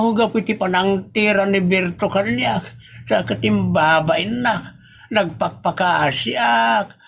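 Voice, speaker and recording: Man acting a high-voiced, grumbling old husband. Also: vocal fry, a bit bright, exaggerated voice. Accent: Ilocano. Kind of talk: Ilocano comedy audio drama acting.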